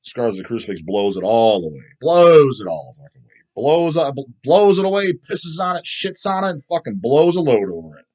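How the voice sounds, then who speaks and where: A middle-aged man speaks at a quick clip in an average-pitched voice. He rambles casually as a video host answering comments, giving blunt, emphatic opinions and swearing heavily throughout. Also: big pitch swings, a little mellow. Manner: blunt, crude, heavy swearing.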